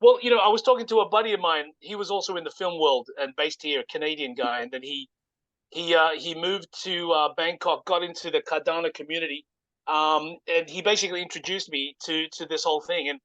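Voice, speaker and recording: A man giving an informal, halting explanation as a guest presenter in casual conversation. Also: on the high side, steady tone, fairly bright tone, no room sound, highs cut off. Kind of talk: informal project pitch, casual chat.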